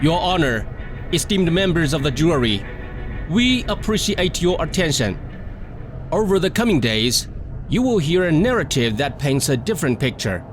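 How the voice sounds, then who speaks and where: Man doing a smooth-talking defense lawyer, kinda higher voice, little music behind. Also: very animated, moderate pace. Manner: polished and persuasive. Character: composed male defense attorney. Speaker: defense attorney. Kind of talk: formal courtroom address.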